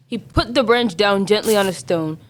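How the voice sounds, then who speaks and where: A woman's voice: mid-range voice, normal speed. A young female student reading scripted folktale narration as the narrator. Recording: faint noise, amateur school podcast.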